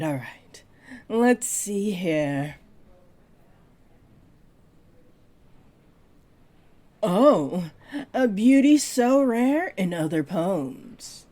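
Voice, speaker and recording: A woman's voice: lowish voice, warm tone. A woman voicing a flirty, possessive half-dragon librarian in a roleplay audio, speaking in a coy, playful drawl. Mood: teasing, possessive. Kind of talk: roleplay audio acting addressed to the listener.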